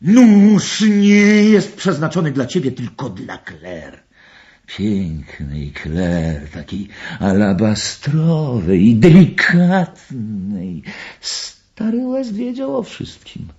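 An actor playing a deranged, gloating murderer in a radio play, theatrical, drawn-out and erratic in his delivery. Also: mid-range voice, huge pitch swings, darkish tone, dull audio, exaggerated voice. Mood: menacing. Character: deranged, gloating male murderer, obsessed with knives.

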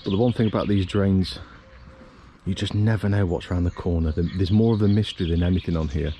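A man's voice: deep voice, fairly even intonation, quick pace, mellow, slight background noise. A man narrating his own fishing trip outdoors by a drain, chatty and easygoing, often repeating himself. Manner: chatty, repeats "it really does". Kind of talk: casual outdoor vlog narration. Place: outdoors on a fenland drain bank.

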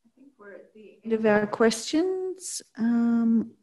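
A woman moderating a talk's question session, reading out audience questions in a brisk, friendly way. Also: fairly high pitch, animated, darkish tone, far from the mic, poor audio. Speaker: event moderator.

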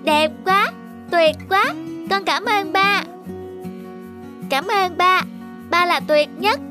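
A woman's voice: very high voice, slow pace, over music. A woman voicing an earnest, affectionate small boy in a cartoon, with a childlike way of talking. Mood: delighted. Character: eager, affectionate small boy, easily tired. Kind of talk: cartoon voice acting.

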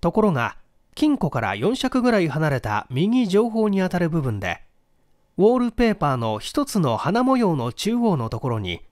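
Lively high-voiced man reading a story. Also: moderate pace, dry recording, studio quality. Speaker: audiobook reader. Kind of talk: scripted literary narration of a detective story.

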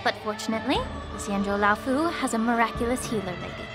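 A woman playing a shrewd, poised healer in an anime game, polished and gently commanding. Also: a little high, quick pace, over music, natural voice. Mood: composed, concerned.

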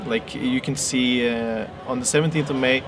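Measured man from Norway, street noise. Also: medium pitch, fairly even intonation. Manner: measured, hesitant with many uhs. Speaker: local guide, co-host. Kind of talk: casual explaining chat. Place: outdoors on a city street. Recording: outdoor street noise behind.

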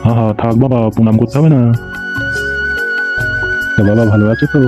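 Man playing a fast-talking grumbling cartoon grandfather. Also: medium pitch, close to an everyday voice. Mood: welcoming. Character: wary, grumbling old grandfather and father-in-law. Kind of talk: comedy cartoon dialogue. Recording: background music under dialogue.

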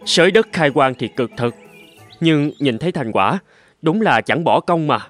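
Earnest, high-pitched cartoon husband. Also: male voice, animated, moderate pace, fairly bright tone, soft background music. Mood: cheerful, earnest. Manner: exclamatory, earnest. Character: eager, gullible husband dreaming of gold, later hardworking. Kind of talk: cartoon voice acting.

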